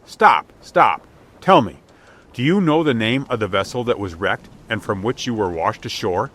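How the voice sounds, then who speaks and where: A man reading a storybook, voicing an excitable wealthy gentleman merchant with emphatic, exclamatory, old-fashioned speech. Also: fairly low pitch, normal speed. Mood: agitated. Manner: exclamatory, emphatic, repeats himself, archaic wording. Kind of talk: dramatic audiobook character reading.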